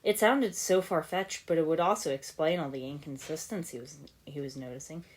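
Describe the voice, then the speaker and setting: A woman's voice: low-pitched, brisk. A woman narrating a scripted story as an amateur audiobook reader.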